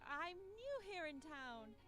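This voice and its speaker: A woman acts a young visual novel heroine with a high, very expressive voice. She is polite and a little awkward, taking her time over each line, with prominent music behind her. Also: full character voice. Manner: hesitant, polite, slow. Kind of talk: visual novel game voice acting. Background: game music.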